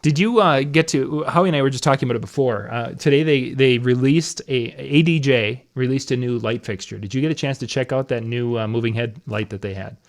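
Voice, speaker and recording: Relaxed chuckling male interview host. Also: medium pitch, a little fast, few pauses. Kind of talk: casual live interview.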